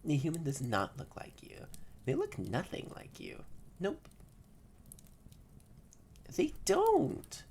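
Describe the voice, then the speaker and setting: A haughty tsundere catboy acted out by a young performer in an intimate audio roleplay, pompous one moment and shyly hesitant the next. He talks slowly with very lively pitch, often dropping to a breathy murmur, over clear background noise. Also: full character voice. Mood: flustered, indignant. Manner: pompous, flustered, hesitant stammering, often drops to breathy near-whispers. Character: haughty tsundere catboy, grumpy yet soft and shy.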